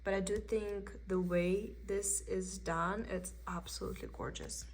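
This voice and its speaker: A young woman with a Russian accent chats her way through a craft haul, meandering and thinking aloud as she goes. Her voice sits at an ordinary middle pitch, and a faint hiss runs beneath it. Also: fairly even intonation, unhurried. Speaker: cross-stitch vlogger. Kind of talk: casual show-and-tell haul. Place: indoors at a table.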